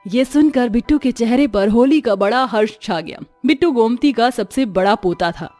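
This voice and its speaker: A woman's voice: fairly high pitch, lively intonation, moderate pace, a little mellow, soft background music. A woman giving scripted, dramatic narration of a story.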